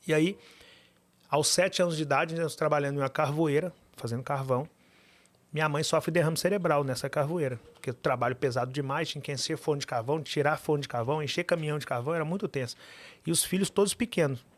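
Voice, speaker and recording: A man, a podcast guest telling his life story with animated, emphatic repetition. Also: on the high side, fast, dry recording. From Minas Gerais, Brazil.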